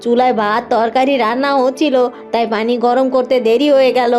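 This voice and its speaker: A put-upon, dutiful young wife voiced by a woman in a village cartoon. Her voice is fairly high and deferential. Light music plays underneath. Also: fairly even intonation, a little fast, a little mellow, lightly acted. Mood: pleading, apologetic. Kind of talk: cartoon voice acting.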